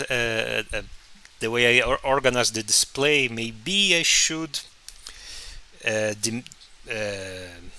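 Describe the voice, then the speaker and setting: A man whose first language is Portuguese, chatting casually on a live stream while he draws, halting and restarting often. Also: medium pitch, bright, forceful tone, clean tone. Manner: halting, many restarts and fillers. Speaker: comic artist streaming his drawing.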